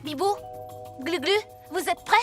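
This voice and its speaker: Boy superhero leader, very high voice, earnest, commanding, emphatic, cartoon dub, music behind. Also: measured pace. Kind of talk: children's cartoon dub acting.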